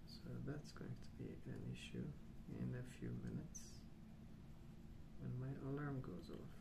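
Soft-spoken man rambling, with creaky voice. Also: medium pitch. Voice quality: soft, breathy, often near a whisper. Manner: slow, hesitant, calm, pausing between short phrases. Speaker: ASMR host. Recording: clear background noise.

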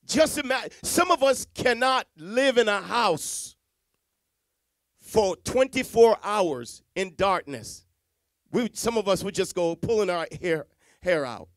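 Middle-aged preacher from Jamaica, high voice, dry close sound, handheld mic, dramatic hushed-to-loud delivery. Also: male voice, animated, slow pace, dry recording. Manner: dramatic swings from hushed to loud, repetitive emphasis. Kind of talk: sermon. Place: church stage with Christmas decor, indoors. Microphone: handheld microphone.

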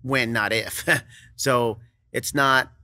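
A young man with a bright, crisp voice talks through a close studio mic on a boom arm, dry and close with no room sound. He is easygoing and loose, laughs often, and his sentences trail off into "you know". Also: fairly even intonation, very slow, no room sound. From Kansas. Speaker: songwriter and producer guest. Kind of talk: casual interview chat. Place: studio set with brick wall and couch.

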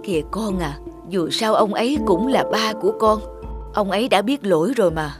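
Middle-aged mother an actress in role, soft and imploring, forgiving temper, animated drama, music underneath. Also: medium pitch, normal speed, natural voice. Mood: pleading, sorrowful.

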